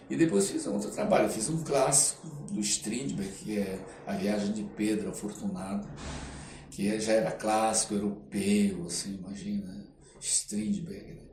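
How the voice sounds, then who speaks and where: An older man, reminiscing casually as an interview guest and often pausing to search for words. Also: fairly low pitch, darkish tone. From Belém, Pará, Brazil. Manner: halting, searching for words, frequent check-in tags. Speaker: podcast guest, theatre artist. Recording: roomy echo, off-mic, faint background noise.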